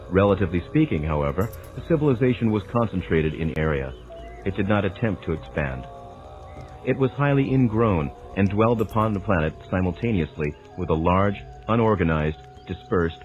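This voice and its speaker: A man delivering a dramatized scripted narration of a spiritual text in a measured, formal, lecturing manner. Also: deep voice, darkish tone. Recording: dull lo-fi sound with music underneath. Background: background music.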